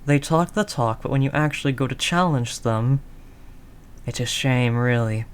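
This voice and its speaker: A man's voice: mid-range voice, moderate pace, clear, resonant tone, close to an everyday voice. A man playing a world-weary, doting mafia boss in an intimate roleplay, talking in teasing street slang with pet names. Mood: scornful, calm. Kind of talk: intimate scripted roleplay audio drama monologue.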